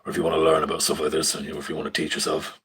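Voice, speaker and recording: A young man with a very deep voice, casually walking through a stage plot on screen, rambling with fillers and casual swearing. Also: completely flat delivery, very fast, fairly bright tone, husky. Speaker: stage tech student. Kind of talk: casual live screen walkthrough. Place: indoors at a screen. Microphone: phone filming a screen.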